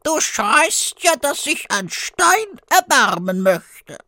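A man voicing a male frog in a fairy tale, in a high, drawn-out, croaking way. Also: sing-song, bright, forceful tone, no echo, exaggerated voice. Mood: pleading. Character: pleading slow-voiced male frog.

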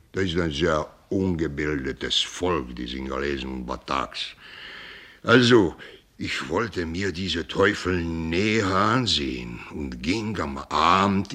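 An old sea captain in a radio drama with a very low, forceful voice. He is gruff and jovial, rambles, breaks off and drops English sailor words into his sentences. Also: full character voice. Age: older. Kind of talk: German radio play acting.

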